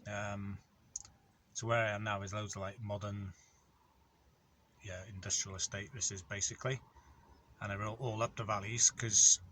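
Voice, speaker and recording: Rambling man, bright voice. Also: lowish voice, little pitch movement, normal speed, long pauses, a little hiss or hum. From North Wales. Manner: rambling, matter-of-fact storytelling with many ums. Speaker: van courier vlogger. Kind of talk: casual vlog chat. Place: in or beside his van on an industrial estate.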